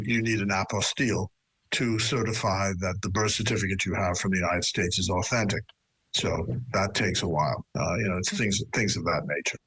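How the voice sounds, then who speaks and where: A man answering a reporter with a patient explanation and frequent fillers. His voice is very deep and husky. The recording is close and dry. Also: fairly bright tone, dry recording, rough recording. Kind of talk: news interview soundbite.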